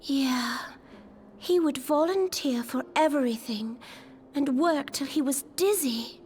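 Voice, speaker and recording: Small, very high female voice, plain-spoken fantasy character, slow, game cutscene with faint music. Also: darkish tone. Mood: worried. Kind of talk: video game cutscene acting.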